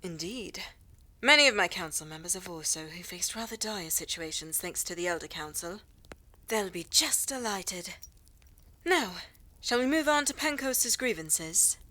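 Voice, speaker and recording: A woman's voice: on the low side, lively intonation, normal speed, sharp tone, low-quality recording. A woman playing a poised reigning queen in a fantasy audio roleplay, firm, formal and imperious. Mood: coolly pleased.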